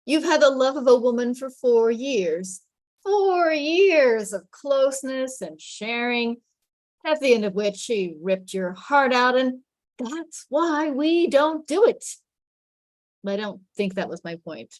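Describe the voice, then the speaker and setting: A woman's voice: high-pitched, expressive, takes it slowly, no room sound, pitched above the performer's own voice. Wry, joking young buddy in a sitcom table read, played by a woman, sardonic, dry sound. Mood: mock earnest. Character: wry, joking young man among his buddies.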